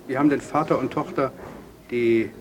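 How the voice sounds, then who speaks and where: Actor as interviewer, medium male voice, deliberate, wordy, probing, faint ambient noise. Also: medium pitch, fairly even intonation, lightly acted. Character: probing, opinionated questioner.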